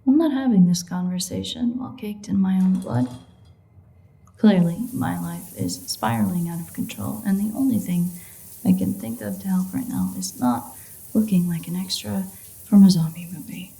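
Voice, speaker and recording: A woman playing a sardonic, predatory vampire in an intimate roleplay audio drama, with a husky, breathy voice and a playful, drawling delivery. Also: fairly low pitch, lively intonation, normal speed, dark tone, exaggerated voice, low-quality recording. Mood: exasperated. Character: teasing, unrepentant female vampire, sardonic and predatory.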